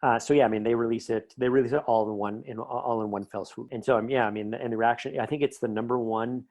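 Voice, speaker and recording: A man's voice: medium pitch, fairly even intonation, quick pace, creaky, darkish tone. A man talking conversationally as an interview guest, chatty and enthusiastic, telling anecdotes about his creative work.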